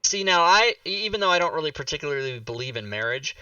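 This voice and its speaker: An American man talks as a livestream host with a bright, forceful voice. He is opinionated, joking and loose with swearing. The sound is dry but a little dull. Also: on the high side, expressive, fast, clear, resonant tone, no room sound, recorded from a distance. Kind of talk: casual livestream chat.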